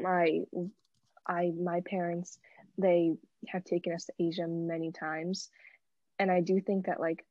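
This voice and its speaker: A woman's voice: fairly low pitch, warm tone, slightly rough, no echo, highs cut off. A young woman talking casually in a group discussion among students.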